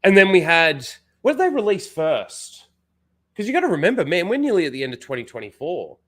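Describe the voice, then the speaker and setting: A man hosts a casual livestream while fighting a head cold, his nose blocked. His voice sits a little high, and he rambles with constant "like"s and false starts, talking into a desk mic. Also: wildly swooping pitch, brisk, fairly bright tone. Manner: rambling, full of "like" and restarts. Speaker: livestream host.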